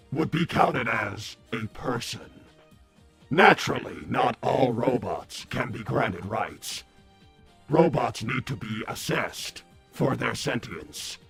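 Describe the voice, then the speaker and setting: Man doing a very husky, haughty robot villain. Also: medium pitch, fairly bright tone, full character voice. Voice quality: raspy, husky. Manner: stilted, formal, haughty. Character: cold, superior male robot villain, formal and calculating. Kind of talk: improvised in-character debate.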